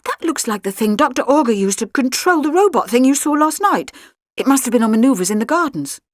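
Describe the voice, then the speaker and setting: An earnest young boy hero, played by a woman reading a story aloud, speaks with breathy urgency. The pitch is on the high side and the sound is very dry. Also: sing-song, fairly quick, no room sound. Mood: curious.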